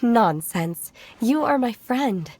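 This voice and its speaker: A woman playing a reserved, solemn young disciple in a video game, formal, literal and measured. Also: fairly high pitch, expressive, a little mellow, faint music behind. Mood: matter-of-fact. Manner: measured, formal, matter-of-fact. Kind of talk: video game character acting.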